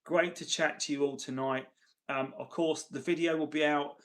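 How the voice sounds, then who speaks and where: A man hosting a casual livestream, chatting chummily with viewers, his voice tired and getting gravelly. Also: a little high, fairly even intonation, normal speed, fairly bright tone. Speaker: livestream host. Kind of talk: casual livestream chat, reading and answering viewer messages.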